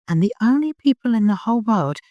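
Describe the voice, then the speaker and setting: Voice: medium pitch, animated, fast, warm tone, no echo, lo-fi sound, pitched above the performer's own voice, lightly acted. An audiobook narrator voicing an anxious, pleading woman, a loving and devout nurse, with urgency. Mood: urgent, insistent. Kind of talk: audiobook character acting.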